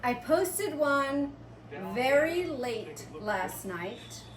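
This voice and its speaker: A woman chats casually as co-host of a homestead vlog, in a fairly high voice at an unhurried pace. The sound is roomy and a little distant from the mic, with faint noise. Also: big pitch swings. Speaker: co-host of a family homestead channel. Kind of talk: casual unscripted chat.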